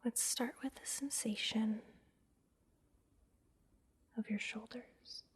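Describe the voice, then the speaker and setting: A woman leads an ASMR guided meditation in a very breathy whisper. Her delivery is slow and even, with little movement in pitch. She gives soft, soothing instructions and leaves space between them. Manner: gentle, soothing, instructing softly with pauses. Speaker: massage therapist and meditation guide, host.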